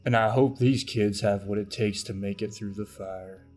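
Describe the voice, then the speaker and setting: A man's voice: on the low side, fairly even intonation, moderate pace, darkish tone, faint music behind. A man giving dramatic, scripted narration for a fantasy podcast trailer.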